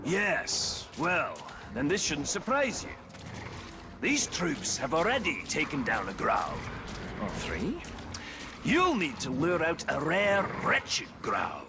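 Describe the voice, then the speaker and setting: A man's voice: a little high, wildly swooping pitch, slow, gravelly, music in the background, exaggerated voice. A man playing a boisterous, wisecracking dwarf hunter in a video game, with a hearty, playful, theatrical delivery. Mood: explaining. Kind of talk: video game character acting. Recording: loud game ambience noise behind.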